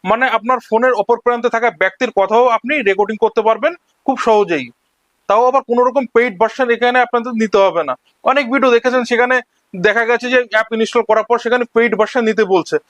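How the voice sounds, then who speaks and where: High-pitched, quick-talking man giving a tutorial. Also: a bit bright, dry recording. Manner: instructional, explains each step in turn. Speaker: tech tutorial host. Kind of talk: step-by-step screen-recording tutorial narration.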